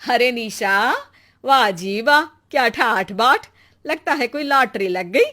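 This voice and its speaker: A woman's voice: on the high side, animated, slow pace, pushed and bright, exaggerated voice. A woman voicing a greedy, envious rich neighbour in a moral story, exclamatory, nosy and scornful. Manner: exclamatory, nosy, mock-admiring. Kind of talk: Hindi moral storybook acting.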